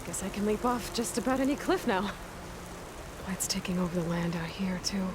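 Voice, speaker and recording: A woman's voice: medium pitch, normal speed, background noise, natural voice. A woman playing a young, determined, wry adventurer heroine in a video game, speaking in a terse, dry manner. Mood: musing. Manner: terse, dry, muttering to herself. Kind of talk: video game dramatic acting. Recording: game score music behind.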